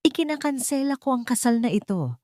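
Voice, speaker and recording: A woman narrator voicing a hurt, dignified bride in an audio storybook, firm, measured and accusing. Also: high voice, big pitch swings, a little mellow, no room sound, studio quality, voice pushed up. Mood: resolute, sad.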